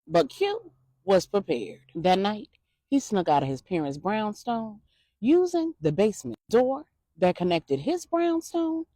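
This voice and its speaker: A woman storyteller reading a scripted crime story with expressive delivery. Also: medium pitch, dry recording.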